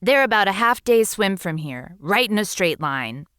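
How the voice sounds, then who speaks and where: A woman's voice: medium pitch, big pitch swings, normal speed, fairly bright tone, dry recording, studio quality. A woman voicing an opinionated but helpful sea witch, theatrical and emphatic, in a children's storybook reading.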